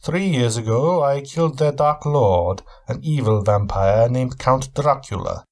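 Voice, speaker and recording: A man's voice: medium pitch, unhurried, darkish tone, voice pushed up, close to an everyday voice. A man voicing a weary, haunted male vampire hunter who talks in an earnest, formal, intense way. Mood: grave.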